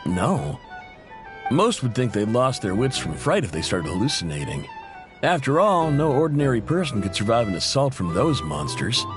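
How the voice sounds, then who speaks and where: An actor playing an easygoing, self-effacing machinist and family man in video game dialogue, expressive and wry. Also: medium pitch, moderate pace, natural voice. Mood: thoughtful. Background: music.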